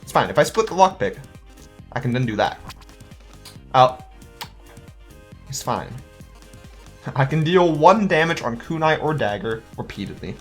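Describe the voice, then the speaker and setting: A man's voice: mid-range voice, big pitch swings, a little slow, long pauses. A chatty male let's-play host talking casually as he plays, thinking aloud and muttering counts under his breath. His voice sits in a middling register. Light music runs beneath him. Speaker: gaming channel host.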